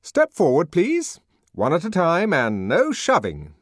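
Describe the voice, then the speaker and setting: A fussy young male official, as a man narrating a novel plays him, pitched high above an ordinary male voice. The delivery is officious and clipped, leaping up and down in melody, recorded dry. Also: wildly swooping pitch, unhurried, no room sound, heavily acted. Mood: peremptory. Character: fussy young male minor official, peremptory, nervously placating.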